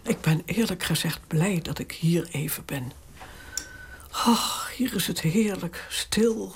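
A woman's voice: on the low side, slow pace, a little hiss or hum. An actress playing an aging, strong-willed queen mother in a radio drama, talking in an imperious, indignant way. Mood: weary. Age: older.

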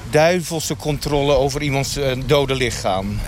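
A man's voice: mid-range voice, natural voice. Earnest satanic dentist, played by a man, street noise. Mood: earnest, halting. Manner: halting, matter-of-fact, earnest. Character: earnest satanic dentist of a sinister sect, male. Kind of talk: mock radio street interview. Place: outdoors in a street. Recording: street noise, passing traffic.

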